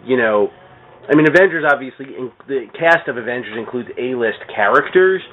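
A man's voice: mid-range voice, lively intonation, brisk. A middle-aged man chatting casually about comics as a podcast guest, rambling with plenty of "you know". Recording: thin phone-like radio sound.

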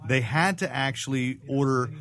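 A man's voice: mid-range voice, moderate pace, a bit bright. A man with a mid-range voice hosts a casual pop culture discussion. He talks in a bantering, exclamatory way and likes to tease. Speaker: podcast host. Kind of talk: casual comics and movie chat.

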